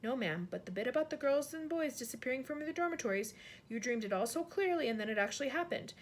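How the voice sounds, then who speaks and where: A woman's voice: sits high, lively intonation, fast. A woman voicing an older, deferential maid who speaks in a hesitant, quivering, polite way. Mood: trembling.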